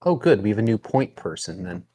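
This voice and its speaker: A man's voice: fairly low pitch, very animated, brisk, mellow. A man with a dry, sardonic manner, bantering in character as a player in a tabletop game.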